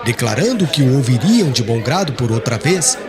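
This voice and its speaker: A man with a Brazilian accent reads a literary audiobook in a solemn, expressive storytelling manner. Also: mid-range voice, normal speed, a crowd in the background. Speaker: audiobook narrator. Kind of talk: scripted literary narration. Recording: music under the voice. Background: background music.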